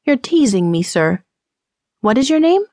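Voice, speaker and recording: A woman's voice: a little high, sing-song, a little slow, mellow, dry recording, clean studio sound, voice pushed up. A woman voicing a prim, easily scandalized Old West temperance lady with proper, formal speech, in an audiobook. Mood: prim, indignant.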